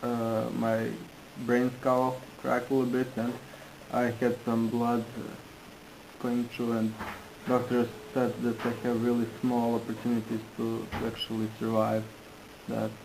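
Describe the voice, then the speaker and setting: A man interpreting into English, halting and restarting in phrasing. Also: mid-range voice, completely flat delivery, vocal fry, noisy background. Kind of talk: consecutive interpreting.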